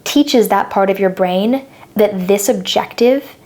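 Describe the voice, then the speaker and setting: A woman's voice: mid-range voice, slow pace, a little mellow. A woman in her twenties, co-hosting a podcast and explaining ideas in a thoughtful, casual chat. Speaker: podcast co-host.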